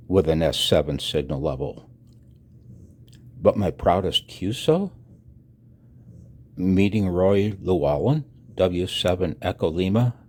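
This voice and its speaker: Deep, husky, slow-talking man in his sixties. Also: darkish tone. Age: 60s. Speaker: amateur radio hobbyist and video narrator. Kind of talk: personal voice-over announcement, partly read from own text.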